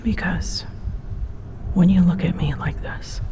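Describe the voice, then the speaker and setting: Slow, imperious dragon queen of storms voiced by a woman. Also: fairly low pitch, fairly even intonation, whispered, long reverb tail. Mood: tender, troubled. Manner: imperious, theatrical, measured, with hushed breathy phrases. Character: proud, scolding female dragon, sovereign of seas and storms. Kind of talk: scripted audio roleplay, ASMR drama.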